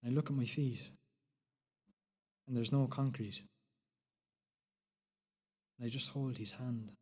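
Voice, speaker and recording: A shaken, guilt-ridden man played by a male actor delivers a radio play monologue. His voice is mid-pitched and sits in a long, hall-like reverb. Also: fairly even intonation, slow pace, mellow, breathy edge. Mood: heartbroken.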